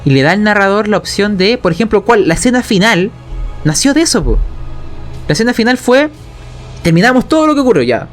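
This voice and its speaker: Chilean man, higher-pitched voice, colloquial game master teaching storytelling and checking that listeners follow. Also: very animated, fairly quick, soft background music. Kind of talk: explanatory conversation on tabletop role-playing narration.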